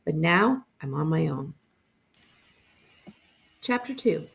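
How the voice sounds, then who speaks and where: A woman narrates a first-person storybook aloud. Her pitch is on the low side, with very heavy creak. The reading is expressive, in a librarian's read-aloud style. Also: takes it slowly.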